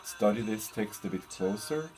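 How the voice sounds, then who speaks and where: A man presenting an informal slide lecture as a teacher, speaking in a hesitant, halting way with frequent pauses. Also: lowish voice, expressive, darkish tone, soft background music. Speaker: university lecturer presenting a lesson. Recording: clear background noise.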